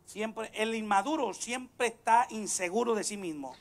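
A man's voice: sits high, a little slow. Man preaching fervently into a handheld mic. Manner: preaching from a pulpit, rising to shouts. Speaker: pastor. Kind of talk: bilingual church sermon. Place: large church stage. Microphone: handheld microphone.

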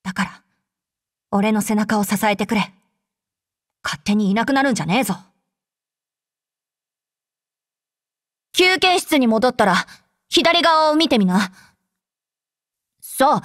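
High, brash tsundere android girl. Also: expressive, moderate pace, pauses often, a bit bright, no room sound, studio quality. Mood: gruff, earnest. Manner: rough, tomboyish, blunt speech. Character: brash, tsundere young female android soldier. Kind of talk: Japanese anime game character voice lines.

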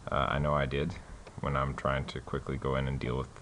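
Very deep-voiced man demonstrating software. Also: brisk, slight background noise. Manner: relaxed, many fillers and restarts. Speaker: software tutorial host. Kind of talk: casual screen-recorded tutorial.

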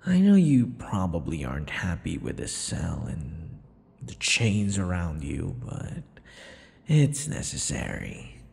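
A man performs a vain, possessive male vampire in an intimate audio roleplay. He taunts his captive theatrically, slow and gravelly, drifting into whispers. Long reverb hangs around the voice. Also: deep voice, wildly swooping pitch, darkish tone, exaggerated voice. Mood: smug, tender menace. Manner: theatrical, taunting, breathy whispers mixed with murmurs. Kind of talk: intimate ASMR boyfriend roleplay.

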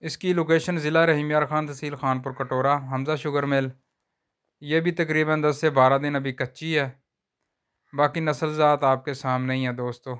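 A man walks viewers through cattle for sale on a farm, talking in plain, unhurried sales patter and filming on a handheld phone outdoors. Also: fairly high pitch, a bit bright, clean tone. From Pakistan. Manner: repeats a stock religious phrase often. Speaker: livestock seller vlogger. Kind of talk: casual sales walkthrough.

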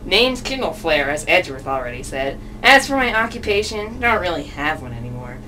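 A woman voicing a blunt, grumpy female witness with an offhand, sardonic deadpan in a puppet show. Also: fairly low pitch, brisk, voice pushed down. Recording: distant mic with clear background noise.

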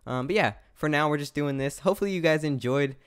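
A man's voice: on the high side, fast, smooth, no room sound, studio quality. A young adult American man telling a story casually over gameplay, rambling and slangy. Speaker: gaming video creator.

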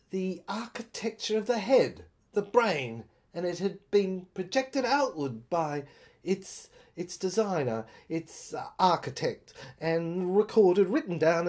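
A woman's voice: lowish voice, mellow. A woman performing a haunted, agitated narrator in an audio drama monologue, stammering and repeating words. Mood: halting recall. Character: haunted, agitated narrator recalling an uncanny asylum.

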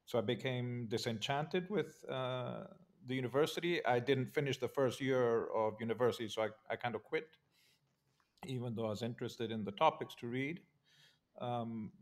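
An older man from Argentina, a guest on a video call, telling his life story in a relaxed, measured way with many pauses and fillers. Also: mid-range voice. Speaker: interview guest, political scientist. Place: home study with bookshelves. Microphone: video call webcam.

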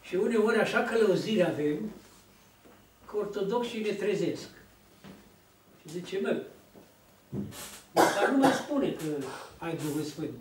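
A man's voice: high voice, lively intonation, long pauses, slight background noise. An older man preaching at a lectern, telling stories and acting out the lines of people in them. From Romania. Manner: storytelling, acts out quoted dialogue, gestures widely. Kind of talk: sermon. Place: church hall, at a lectern. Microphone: far camera. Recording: echoey hall from a distance.

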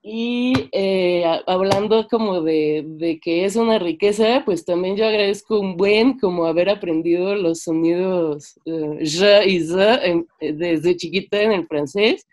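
Mexican woman, twenties, lowish voice, conversational and halting, informal sharing over a video call from home. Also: a little mellow. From Mexico, French-Mexican. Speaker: seminar participant, filmmaker. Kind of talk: informal sharing in an online discussion. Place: bedroom, indoors on a video call. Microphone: computer microphone on video call.

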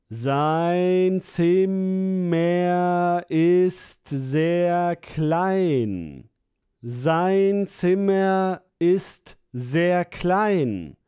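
A man's voice: high voice, animated, extremely slow, warm tone, clear, resonant tone, dry recording, clean studio sound. A man reading phrases for a language course, splitting and repeating them with careful enunciation. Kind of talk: slow, clear phrase-by-phrase repetition.

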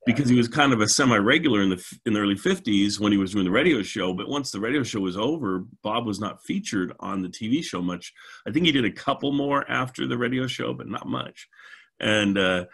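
A man's voice: low-pitched, a bit bright. A middle-aged American man hosting a casual, chatty discussion over a video call, with an enthusiastic manner. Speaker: show host. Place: home, indoors, video call.

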